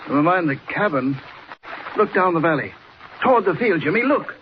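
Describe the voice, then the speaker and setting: An actor playing an excitable, anxious grown man in a 1940s radio adventure serial. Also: on the high side, normal speed, lightly acted. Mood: urgent. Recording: noisy, muffled old radio recording.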